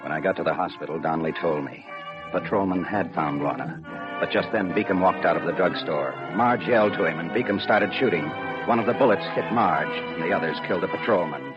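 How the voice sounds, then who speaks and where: An actor playing a dutiful, weary police lieutenant in a 1950s radio drama, with understated, slightly hesitant delivery. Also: male voice, low-pitched, brisk, natural voice. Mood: grim narration. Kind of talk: 1950s radio drama acting and first-person narration. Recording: muffled old radio recording with music.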